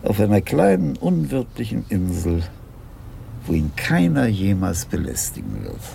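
An actor playing a dry, reflective senior police commissioner in a radio crime drama, in a leisurely, ruminative way. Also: male voice, lowish voice, huge pitch swings, a little slow, creaky, warm tone, a little hiss or hum, heavily acted. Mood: musing. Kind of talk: 1980s German radio crime drama acting.

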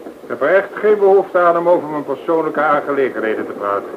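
A man's voice: a little high, big pitch swings, fast. An actor playing an irritable, sarcastic businessman in a radio drama, prickly and curt. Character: irritable, sarcastic businessman, a textile factory owner. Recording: noisy, thin, phone-like radio play recording.

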